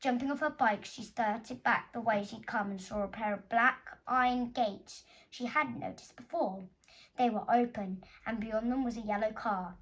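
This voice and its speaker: A woman with a fairly high voice reads a children's storybook aloud. She narrates expressively, like an author sharing her own tale with young listeners. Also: moderate pace, rough recording. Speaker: author reading own book.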